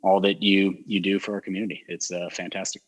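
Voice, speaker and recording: Low husky guy hosting a local show, neutral american accent, rambles with lots of um's. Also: quick pace. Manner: relaxed and rambling, many fillers and restarts. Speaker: mayor hosting a community talk show. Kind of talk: casual interview chat.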